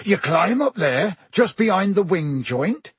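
A man voicing a big, hearty, kindly gamekeeper who teaches in booming, rustic, colloquial speech for an audiobook. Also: sing-song, a little slow, husky, no room sound, heavily acted. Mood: delighted, encouraging.

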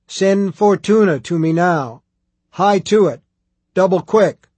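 A man voicing a huge, imperious but good-humored prioress in an audiobook, with a rolling, booming voice and archaic formal speech. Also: steady tone, moderate pace, darkish tone, no echo, close to an everyday voice. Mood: bellowing orders.

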